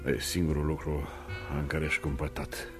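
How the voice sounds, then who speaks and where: An actor playing a stern, condescending older businessman in a Romanian radio play, speaking in a measured, reproving way. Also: a bass voice, little pitch movement, over music. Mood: stern, disapproving. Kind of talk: Romanian radio theatre acting.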